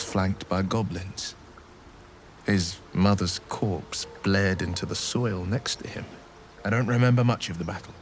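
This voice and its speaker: An actor playing a noble, righteous, troubled monster hunter in a fantasy game, earnest and formal in delivery. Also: male voice, lowish voice, lightly acted. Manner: earnest, measured, formal, theatrical. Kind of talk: video game character acting. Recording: faint music under the voice.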